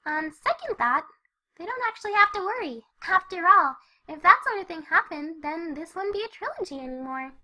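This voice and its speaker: Excitable party pony storyteller: squeaky high, lively swings, chatty and girlish, fan fiction reading. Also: moderate pace, exaggerated voice. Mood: chatty, bubbly. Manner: bubbly, chatty. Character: perky excitable young party pony mare, narrating. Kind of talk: first-person fan fiction narration.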